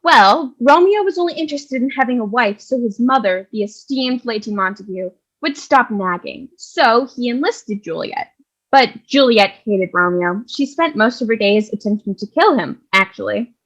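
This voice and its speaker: Fairly high-voiced storyteller played by a young woman. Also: lively intonation, moderate pace, a bit bright, muffled recording. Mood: matter-of-fact. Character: patient storyteller explaining a play. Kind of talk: scripted comedic storytelling.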